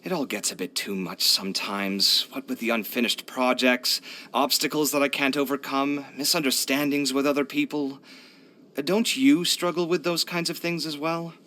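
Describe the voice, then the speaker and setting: A man's voice: mid-range voice, normal speed, lightly acted. A man voicing a proud, earnest young architect for a video game, chatty and candid in his delivery. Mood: sheepish, confiding. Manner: chatty, candid, expressive, sighing. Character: young male architect, proud, earnest, expressive, hard-luck. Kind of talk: video game character voice lines.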